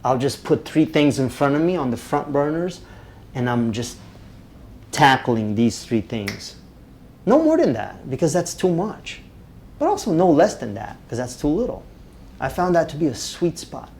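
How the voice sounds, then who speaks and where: Male workshop instructor, upbeat and pitched a touch high, keeps checking in with the room. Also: moderate pace, a little hiss or hum. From Romania. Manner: energetic, checks in with "right?" constantly. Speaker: public speaking instructor. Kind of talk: live workshop talk to a group.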